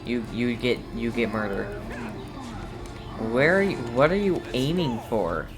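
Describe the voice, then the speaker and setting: A man giving casual, playful gameplay commentary as he streams, often halting and muttering to himself. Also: medium pitch, expressive, over music. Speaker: streamer playing a video game. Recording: game ambience clearly behind the voice.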